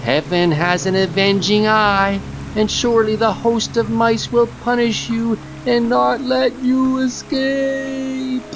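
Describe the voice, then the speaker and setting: Squeaky, high-pitched little mouse. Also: male voice, flat delivery, slow, full character voice, low-quality recording. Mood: dying, vengeful. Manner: declamatory, archaic and dramatic. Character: small male mouse, frightened then vengeful, drowning. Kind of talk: dramatized storybook audiobook acting. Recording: music under the voice.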